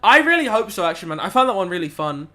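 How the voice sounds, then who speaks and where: High-voiced young man streaming maths. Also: sing-song, very fast, bright, forceful tone. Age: young adult. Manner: laid-back, chatty slang, reads chat aloud and mutters while working. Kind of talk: casual live-stream chat while solving maths.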